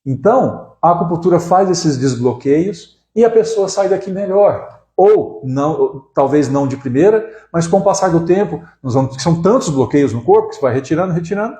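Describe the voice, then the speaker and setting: A man's voice: fairly high pitch, expressive, normal speed, few pauses, mellow, roomy sound. A man in his forties from Brazil, an acupuncturist giving a casual live talk, often restarting words and tagging sentences with "né?". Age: 40s. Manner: conversational, frequent "né?" tags and restarts. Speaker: acupuncturist hosting a live stream.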